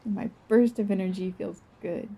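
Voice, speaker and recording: Female guided-visualization narrator, very dark soft tone, breathy with some creak, gentle, dreamy, unhurried delivery. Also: medium pitch, fairly even intonation. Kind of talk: slow first-person guided visualization.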